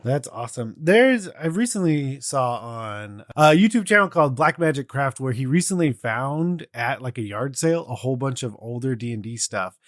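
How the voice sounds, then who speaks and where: Male co-host, mid-pitched, conversational and informal, for a hobby podcast with a dry sound. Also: expressive, normal speed, few pauses, no echo. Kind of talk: casual podcast chat.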